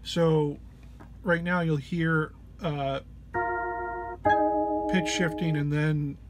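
Slow, halting man, slightly under the weather. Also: fairly high pitch, steady tone, loud music behind the voice. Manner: hesitant, halting, searching for words. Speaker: musician demonstrating an effects pedal patch. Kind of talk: informal gear walk-through. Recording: clear background noise.

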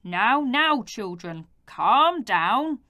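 A woman voicing a kindly grown man who murmurs slowly and thoughtfully while he puzzles things out. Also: on the high side, very animated, pushed and bright, no room sound, clean studio sound, exaggerated voice. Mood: jolly.

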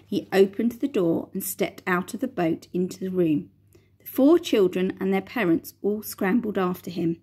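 A middle-aged woman teacher reading a children's book aloud in a lively, expressive way, recorded on a phone in a large indoor room. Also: mid-range voice, moderate pace, slightly rough. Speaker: primary school teacher. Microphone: phone front camera at close range.

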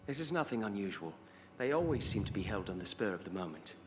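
An actor playing a composed, formal young noble ruler in a video game cutscene. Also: male voice, on the low side, big pitch swings, quick pace. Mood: calm, authoritative. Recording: clear background noise, game ambience.

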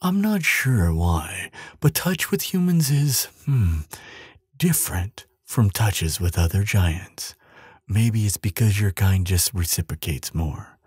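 Gentle giant played by a man, low mellow voice, slow, tender, affectionate, hesitant hums, intimate ASMR roleplay. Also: expressive, husky, dry recording, clean studio sound, heavily acted. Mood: thoughtful, affectionate. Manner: tender, unhurried, hesitant murmuring with soft hums and sighs.

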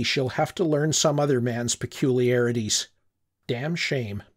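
An older man narrating a short story in the first person, reflective and wistful. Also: mid-range voice. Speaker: audiobook narrator.

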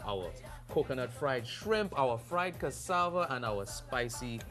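Middle-aged man, lively swooping voice, upbeat cooking-show host, faint music. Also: medium pitch, measured pace. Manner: upbeat, lively, playful, hyping the food. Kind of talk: TV presenter chat. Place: TV studio kitchen set.

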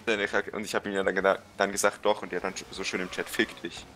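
A man's voice: low-pitched, level tone, fast, a bit bright, slight background noise. A young man streaming a racing game, joking and swearing casually with a friend. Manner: slangy, joking, swears and laughs. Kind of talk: casual gaming stream chat.

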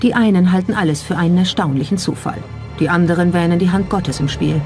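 A narrator reading a scripted documentary in a measured storytelling style. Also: medium pitch, a little mellow, soft background music.

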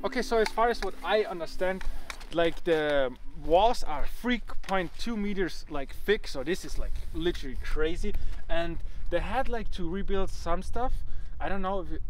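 Excitable high-pitched young man vlogging. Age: 20s. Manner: excitable, informal exclamations. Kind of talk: casual vlog chat while exploring. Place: outdoors in snowy castle ruins. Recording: noisy outdoor camera audio.

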